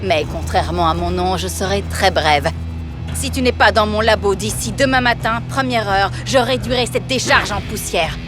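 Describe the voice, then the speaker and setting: A woman's voice: mid-range voice, normal speed, lightly acted. A woman as a haughty, menacing cartoon villainess. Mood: pompous threatening. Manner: pompous, condescending, threatening. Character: haughty, menacing villainess, boss of an evil corporation. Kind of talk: animated cartoon voice acting. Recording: loud background music.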